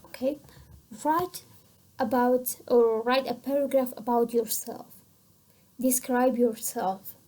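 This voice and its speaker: A woman with a Kurdish accent giving a recorded English lesson, with slow, deliberate English and quick Kurdish explanations. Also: fairly high pitch, steady tone, dark tone. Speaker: university English teacher. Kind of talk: recorded lecture.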